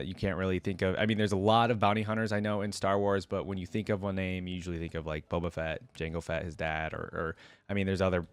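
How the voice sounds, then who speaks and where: A man with a fairly low voice talks informally as a reaction video creator. He comments like an enthusiastic fan in a casual way. The sound is dry and close, with little room to it. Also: no room sound.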